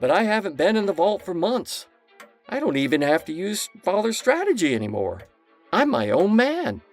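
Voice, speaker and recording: An actor playing a proud, defensive heir to a family business in an audio drama, brooding and imperious, over music. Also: male voice, high voice, big pitch swings, normal speed. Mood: reflective, proud. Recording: music underneath.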